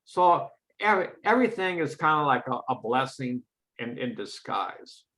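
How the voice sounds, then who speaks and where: A man in a casual chat with a mellow voice who talks haltingly and repeats his words. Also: mid-range voice, big pitch swings, low-quality recording. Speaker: guest.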